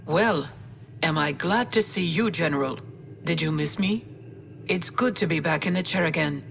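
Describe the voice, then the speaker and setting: Low, gravelly faction officer. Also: female voice, normal speed, slight background noise. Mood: wry, familiar. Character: loyal, composed female Dynasty officer, familiar and wry. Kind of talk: conversational video game briefing.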